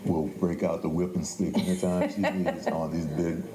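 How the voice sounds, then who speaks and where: Very deep-voiced older man, echoey room. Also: flat delivery, moderate pace, dark tone. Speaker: playwright. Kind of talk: interview conversation, storytelling. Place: indoors at a theater. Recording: echoey room, faint background noise.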